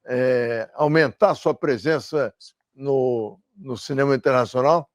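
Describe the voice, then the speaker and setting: A man puts a question to an interviewee, stopping and restarting as he goes. His pitch is ordinary. The sound is dry and close. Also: dry recording. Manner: halting, hesitant questioning. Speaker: journalist interviewer. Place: played interview clip.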